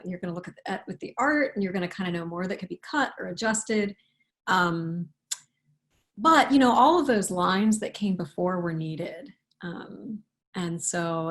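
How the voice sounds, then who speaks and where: A woman speaking as an author in a casual interview, thoughtful and halting, often restarting and rewording her sentences. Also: lowish voice. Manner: halting, thoughtful, with repeated self-corrections. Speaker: picture book author being interviewed.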